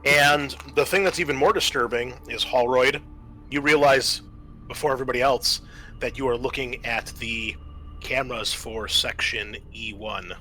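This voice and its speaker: A man running a tabletop game as game master, describing scenes in a halting, improvised way with many pauses. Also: medium pitch, pushed and bright, poor audio.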